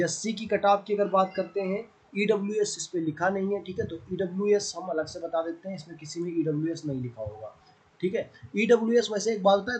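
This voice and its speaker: Man, high voice, North Indian accent, coaching teacher, informal explanations, conversational, frequent check-ins. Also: darkish tone, poor audio. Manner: conversational, repeatedly checking in with listeners.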